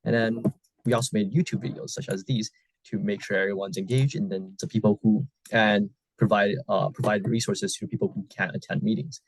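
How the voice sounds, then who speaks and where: A man's voice: on the low side, steady tone, fairly quick, no echo, low-quality recording. A young man presenting casually for a student club, using fillers like "so yeah" and "like". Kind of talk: casual presentation over video call.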